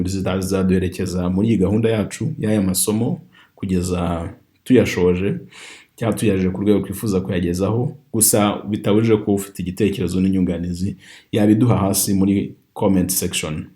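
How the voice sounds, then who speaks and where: Rwandan male teacher, native Kinyarwanda, deep mellow voice, repeats phrases for learners. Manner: reads phrases slowly and repeats them for learners. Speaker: language teacher. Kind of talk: online language lesson.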